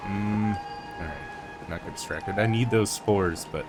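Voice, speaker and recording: A man giving casual, low-key gameplay commentary, musing to himself as he plays through a story quest. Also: unhurried. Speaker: gamer playing a story quest. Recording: loud game music behind voice. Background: game music.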